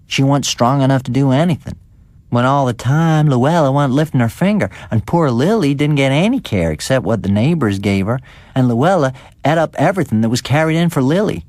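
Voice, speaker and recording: A man's voice: medium pitch, darkish tone, no echo, voice pushed up, close to an everyday voice. A man voicing an elderly, indignant village gossip with a folksy, rustic manner of speech in a dramatised story reading. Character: elderly unmarried village woman gossiping indignantly about neighbours.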